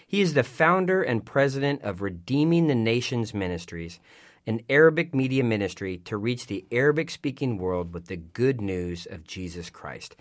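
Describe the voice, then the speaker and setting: Man hosting a radio interview, animated delivery. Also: medium pitch, moderate pace. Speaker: radio show host and interviewer. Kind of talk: radio interview with scripted introduction.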